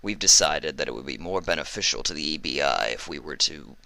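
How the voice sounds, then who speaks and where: A man voicing a calm, cold stallion government agent in a storybook, speaking in a measured, curt way. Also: extremely low pitch, creaky, no room sound, full character voice. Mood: annoyed.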